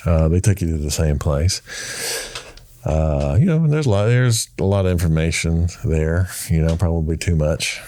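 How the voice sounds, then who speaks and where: A man, a studio producer and engineer, chatting in a relaxed interview with dry, understated humor and lots of halting fillers. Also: sits low. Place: recording studio.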